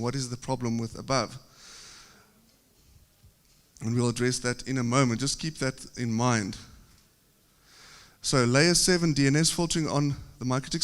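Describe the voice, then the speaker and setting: A man with a South African accent gives a technical talk from a podium microphone. His pitch sits in an ordinary middle range, and the long reverb of a large conference hall trails behind each phrase. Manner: steady explanatory delivery, occasional filler pauses. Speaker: conference presenter.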